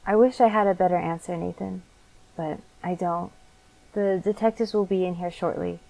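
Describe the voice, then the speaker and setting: Actress as custody attendant, warm soft timbre, gentle, apologetic, sympathetic, audio drama. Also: female voice, lowish voice, steady tone, moderate pace, vocal fry, poor audio. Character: sympathetic, apologetic woman watching over a detained suspect.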